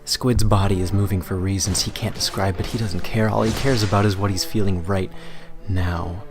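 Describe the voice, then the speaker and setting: A man's voice: sits low, steady tone, normal speed, over music. A man reading his own fan fiction as a dramatic, mock-serious, theatrical narrator.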